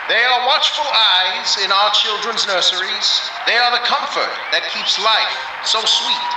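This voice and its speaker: A man acts an earnest orator with a high voice, speaking rhetorically. It is a distant, heavily echoing recording with clear noise, as in a radio play. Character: earnest orator pleading for spirits' rights. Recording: distant, very echoey, noisy speech recording.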